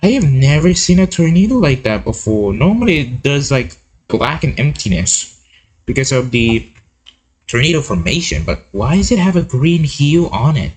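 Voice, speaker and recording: A man giving casual, excitable reaction commentary, repeating words and breaking into his own read-aloud with asides. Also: medium pitch, normal speed, husky, rough recording. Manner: excitable, repeats words, interjects while reading. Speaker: host of a reaction video.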